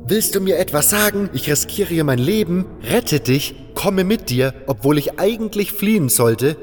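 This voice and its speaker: A man's voice: on the high side, animated, normal speed, a little mellow, in a large hall, faint music behind, voice pushed up, lightly acted. A man voicing an exasperated, reluctant adventurer in a fantasy audio drama, with a biting, sarcastic manner. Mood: outraged.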